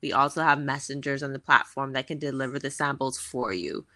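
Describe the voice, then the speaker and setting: Woman from the bahamas, 30s, low voice but really bright and forceful, chatty interview guest, dry close sound. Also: steady tone, brisk, no echo. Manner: chatty, upbeat, with frequent "um" and "like". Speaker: guest, fashion tech founder. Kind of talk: casual interview conversation.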